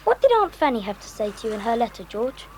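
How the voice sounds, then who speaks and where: An actress playing a sensible, earnest schoolboy who leads young adventurers in an audio drama. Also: female voice, on the high side, very animated, fast, a little hiss or hum, rough recording. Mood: thoughtful. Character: sensible, optimistic boy leader of child adventurers.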